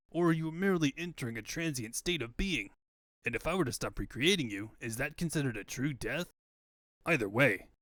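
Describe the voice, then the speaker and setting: A man's voice: mid-range voice, fairly bright tone, no room sound, pitched below the performer's own voice, natural voice. A man voicing a grandiose, theatrical villain scientist in a comic book fandub, easily exasperated.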